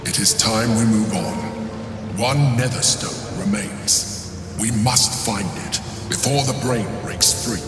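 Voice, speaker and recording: An actor playing a proud, calculating mind flayer in a video game, speaking in a calm, measured, deliberate way. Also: male voice, mid-range voice, lightly acted. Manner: measured, deliberate, self-justifying. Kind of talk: dramatic game monologue. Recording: roomy long reverb, music behind.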